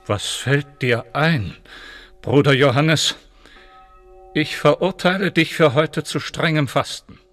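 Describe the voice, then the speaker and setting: An actor playing a pompous, condescending medieval sacristan monk with formal speech in a radio drama. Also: male voice, fairly high pitch, takes it slowly, fairly bright tone, faint music behind. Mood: haughty, severe.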